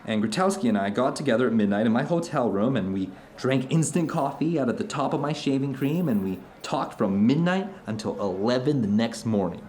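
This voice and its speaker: Give the role of a fervent middle-aged man to a male actor in an audio drama. He has a medium-pitched voice and rambles earnestly through his experiences, like someone confiding in an old friend over dinner. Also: lively intonation, fairly quick, few pauses, darkish tone, lightly acted. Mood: excited.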